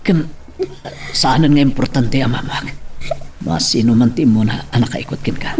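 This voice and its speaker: A man's voice: medium pitch, moderate pace, darkish tone, lightly acted. A man playing a pleading, lovestruck young husband in an Ilocano audio drama, with an Ilocano accent. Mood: tender, pleading. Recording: faint music bed.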